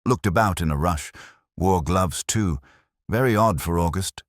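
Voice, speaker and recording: A man's voice: deep voice, a little slow, dry recording, studio quality, pitched above the performer's own voice. A man voicing a gossipy, primly observant village housewife in a detective story, confiding in manner. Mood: prim gossip.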